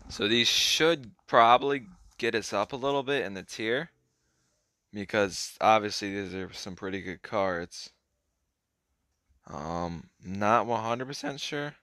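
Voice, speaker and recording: A young man casually commenting on a mobile card game as he plays, hoarse and clearing his throat, his voice trailing off in a laid-back mumble. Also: lowish voice, slow, long pauses, pushed and bright, dry recording, studio quality.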